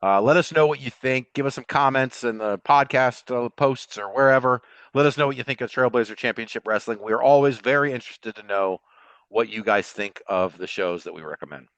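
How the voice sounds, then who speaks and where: Man, podcast co-host, casual sports chat, medium pitch, slightly muffled lo-fi sound. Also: a little fast, fairly bright tone, clear, resonant tone, dry recording.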